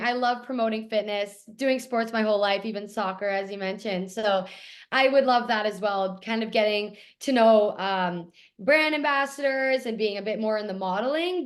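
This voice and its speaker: A young woman from Toronto, Canada, with a raspy voice, talking eagerly as a guest in a casual interview. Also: medium pitch. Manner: eager, gushing, repeats how much she loves things. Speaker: guest actor.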